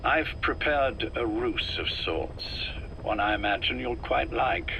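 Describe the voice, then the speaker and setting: Casting: older, sickly spy chief for a game. Coolly polite yet threatening, deliberate, bright forceful tone, faintly noisy recording. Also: male voice, mid-range voice, gravelly. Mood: quietly persuasive. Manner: measured, deliberate, politely menacing. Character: ailing, calculating older male intelligence chief, calm and controlling. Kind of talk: scripted game dialogue, dramatic acting.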